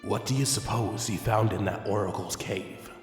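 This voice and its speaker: A man voicing a gruff, sardonic, resentful vampire brother in a dramatic story, with a deep, blunt delivery. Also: fairly even intonation, moderate pace, lightly acted. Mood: brooding. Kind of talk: dramatic storybook character reading. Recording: echoey long reverb over background music.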